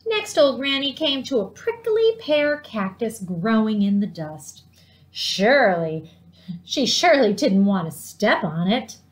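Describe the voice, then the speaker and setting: Animated woman storyteller, high voice. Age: middle-aged. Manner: dramatic, drawn-out emphasis. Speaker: children's librarian reading aloud. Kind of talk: animated storybook read-aloud. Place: living room at home, indoors.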